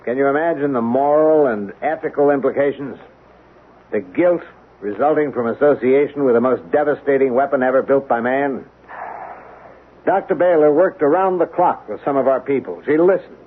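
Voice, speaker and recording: A male actor playing an earnest, protective middle-aged colonel in a 1970s radio drama, speaking in a measured, emphatic way with pointed rhetorical questions. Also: mid-range voice, slightly rough, natural voice. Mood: grave, explaining. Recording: tinny, band-limited 1970s radio recording.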